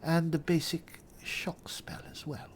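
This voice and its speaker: A man thinking aloud in character as he plays a roleplaying game, measured and deliberate, often muttering to himself. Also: fairly high pitch, a little hiss or hum. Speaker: gamer narrating a roleplay playthrough.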